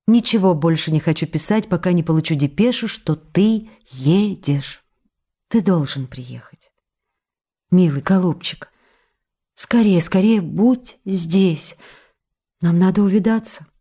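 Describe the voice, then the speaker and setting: A woman's voice: on the low side, warm tone. An actress playing a passionate young stage actress reading out her love letters in a tender, intimate, imploring manner for a radio play. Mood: ardent, insistent, then tender, pleading.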